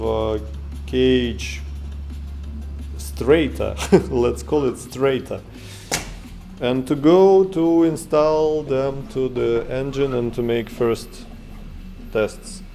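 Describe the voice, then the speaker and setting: A man in his thirties with a Russian accent, talking through his workshop in halting English full of fillers and restarts, recorded on an action camera indoors. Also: medium pitch, mellow. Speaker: maker vlogger. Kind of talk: casual workshop vlog. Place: home workshop room, indoors. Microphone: action camera held or mounted close.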